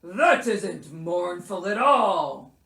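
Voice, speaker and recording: Woman doing a loud, grumpy, melodramatic sick bear. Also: mid-range voice, lively intonation, heavily acted. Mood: indignant. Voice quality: gruff, growly. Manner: loud, pompous, melodramatic, drawn-out. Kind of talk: children's storybook character voice. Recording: roomy echo.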